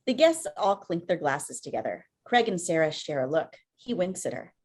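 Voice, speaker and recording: A woman narrates a screenplay's stage directions. Her pitch is a little low, and the delivery is scripted reading over a video call. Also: very animated, moderate pace, a little mellow. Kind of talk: scripted narration at a table read.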